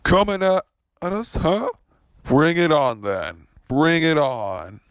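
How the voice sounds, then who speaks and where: A man's voice: on the high side, lively intonation, slow pace, smooth, no room sound, clean studio sound. A man playing a defiant, scrappy young hero in an amateur action drama, with an exclamatory manner.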